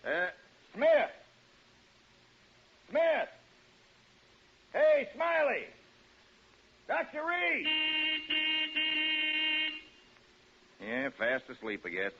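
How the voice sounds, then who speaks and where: A man's voice: very high-pitched, wildly swooping pitch, extremely slow, creaky, long pauses, breathy edge, exaggerated voice. An actor playing a breezy, placating American property salesman with a folksy, glib manner, in a 1940s radio drama. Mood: calling out. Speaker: real estate agent. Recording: dull, noisy 1940s radio recording.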